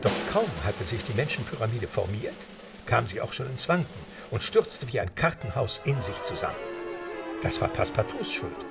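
A man's voice: mid-range voice, sing-song, moderate pace, gravelly, over music. A man narrating an adventure story in a radio play, composed and lightly wry, in scripted style. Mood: vivid, wry.

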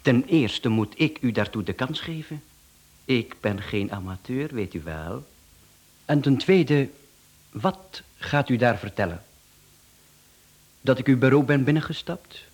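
A man's voice: medium pitch, animated, measured pace, long pauses. A man with a Flemish accent playing a smug, chatty professional hitman in a radio drama, speaking with mocking politeness and self-assurance. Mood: mocking, self-assured.

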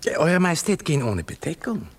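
An actor playing a polite, courtly imperial envoy, deferential and diplomatic, in a German radio play. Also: male voice, a little high, sing-song, brisk, slightly rough, heavily acted. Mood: courteous.

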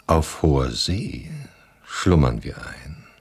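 An actor playing Odysseus, a flattering, evasive seafaring husband come home, with a husky, breathy voice in a German radio play. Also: male voice, very deep voice, takes it slowly, full character voice. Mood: seductive flattery. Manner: flattering, placating, theatrical.

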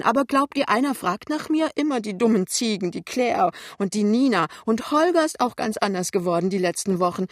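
A woman's voice: on the high side, no room sound, clean studio sound, voice pushed up. A woman voicing a tipsy, tearful, indignant middle-aged mother in an audiobook novel, whining and insistent. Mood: tearful indignation. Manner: whiny, complaining, insistent.

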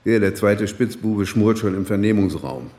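An actor playing a gruff, middle-aged police inspector in a radio crime drama, wry, brusque and colloquial. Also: male voice, on the low side, normal speed, dark tone, close to an everyday voice. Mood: wry, bossy. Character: gruff, sardonic male police chief inspector. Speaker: police detective.

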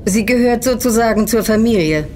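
An actress playing a haughty, power-hungry member of a secret order in an audio drama, speaking in a cool, condescending way. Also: female voice, fairly high pitch, a little fast, darkish tone, slight background noise, close to an everyday voice. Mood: cool, probing.

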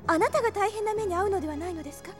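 A woman playing a gentle, polite, selfless and worried young cleric in an audio drama. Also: extremely high pitch, racing, soft background music. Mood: anxious. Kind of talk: anime-style audio drama acting.

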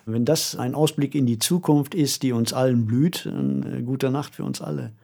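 A man's voice: medium pitch, steady tone, moderate pace, gravelly, warm tone. A man co-hosting a podcast in casual discussion. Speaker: podcast co-host.

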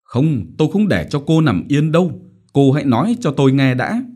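Guy putting on a fussy worried mom voice, coaxing and soft, well above his normal pitch, lots of lilt. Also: very animated, moderate pace. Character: doting, fretful middle-aged mother.

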